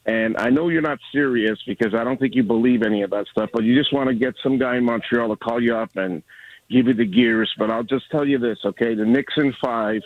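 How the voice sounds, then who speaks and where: A man's voice: medium pitch, animated, a little fast, vocal fry, slight background noise. Older man on a tinny phone line. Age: 60s. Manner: rambling, talkative, restarts often. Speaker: caller. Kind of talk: casual call-in chat. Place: coffee shop at night.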